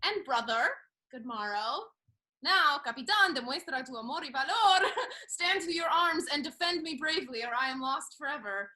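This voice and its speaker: Woman playing a high, bright, spirited young noblewoman. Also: expressive, normal speed, low-quality recording. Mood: playful urgent. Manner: theatrical, playful, spirited. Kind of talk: classical stage comedy acting.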